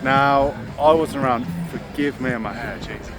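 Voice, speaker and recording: A man's voice: mid-range voice, moderate pace. Young man, chatty self-mocking football vlogger, outdoors on a phone, music behind. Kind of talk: casual vlog to camera. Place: outdoors around a football stadium.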